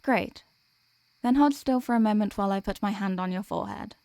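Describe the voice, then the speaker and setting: A woman playing a gentle, protective sleep paralysis demon in an ASMR comfort roleplay, speaking in a soothing, tender, reassuring way. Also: mid-range voice, a little fast, no room sound, close to an everyday voice.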